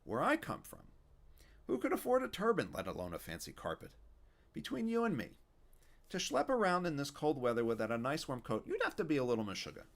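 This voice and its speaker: Old man genie, kvetching, winded, loud, high, voiced by a man reading aloud. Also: wildly swooping pitch, a little fast, vocal fry, exaggerated voice. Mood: grumbling. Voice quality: kvetching, wisecracking, sing-song questions. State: out of breath, tired. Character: grumbly old Yiddish-speaking male lamp genie. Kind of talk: storybook read-aloud.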